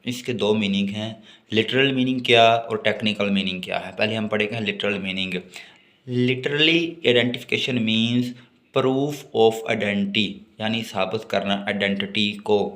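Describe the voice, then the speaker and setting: A man's voice: lowish voice, a little slow, fairly bright tone. A man with a Pakistani accent giving a law lecture, reading notes aloud and explaining them in a didactic way.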